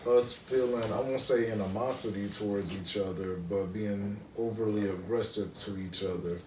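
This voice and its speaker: A man's voice: lowish voice, fairly even intonation, moderate pace, darkish tone. A man co-hosting a podcast, thoughtful and hedging his words in casual chat. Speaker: podcast co-host. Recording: echoey room, mic far off, faint noise.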